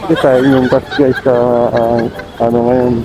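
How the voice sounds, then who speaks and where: Male Filipino rider-vlogger, mid-pitched, courteous and casual, recorded on the bike with music and engine under him. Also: flat delivery, normal speed, rough recording. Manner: polite, deferential. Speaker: motorcycle taxi rider and vlogger. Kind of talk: casual vlogging and polite chat with passengers. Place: outdoors on a motorcycle in city traffic. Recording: loud music, vehicle engine behind.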